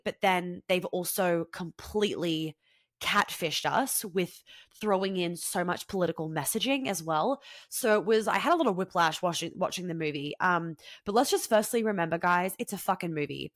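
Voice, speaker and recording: A woman's voice: fairly low pitch, no room sound, studio quality. Congested woman podcaster, blunt and swearing. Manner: blunt, animated, swears freely. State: congested with a head cold. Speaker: podcast host. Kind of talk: casual solo podcast chat.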